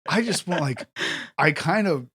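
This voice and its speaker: A man's voice: on the high side, animated, a little slow, pushed and bright, breathy edge, dry recording, clean studio sound. A man hosting a podcast, chatting casually and hesitantly with fillers. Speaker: podcast host. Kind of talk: casual conversational interview.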